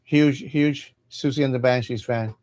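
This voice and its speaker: A man from Cuba, reading tarot cards in a halting, casual livestream chat and often repeating his words. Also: mid-range voice, fairly even intonation, a little mellow. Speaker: tarot reader.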